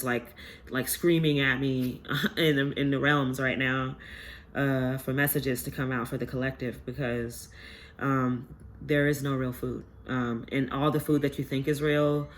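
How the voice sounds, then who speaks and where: A man's voice: medium pitch, fairly bright tone, slight background noise. A man giving a casual collective tarot reading, rambling and earnest with many fillers, his voice stuffy from a sinus infection. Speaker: tarot reader.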